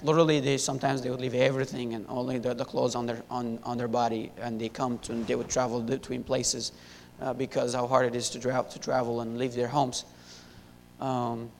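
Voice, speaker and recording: A young man in his twenties from Syria, giving a halting church testimony full of fillers and restarts into a handheld mic. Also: creaky. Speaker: missionary. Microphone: handheld wireless mic. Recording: echoey hall, faint rumble.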